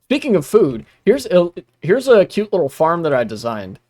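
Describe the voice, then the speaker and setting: A man's voice: high voice, wildly swooping pitch, moderate pace, darkish tone, dry recording. A young man streaming while gaming, talking casually with many restarts and blunt asides.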